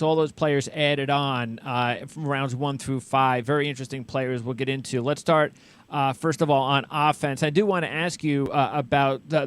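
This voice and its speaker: A man hosting a casual sports interview, asking questions in a halting way and often rephrasing mid-sentence. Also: mid-range voice, clear, resonant tone. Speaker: interviewer, sports show host.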